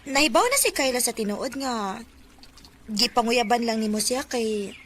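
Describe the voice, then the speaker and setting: A woman acts a possessive, breezy young best friend in a Bisaya radio drama. She has a slightly high voice and a Filipino Bisaya accent. The recording is noticeably noisy. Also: expressive, normal speed, close to an everyday voice. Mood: coaxing. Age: young adult.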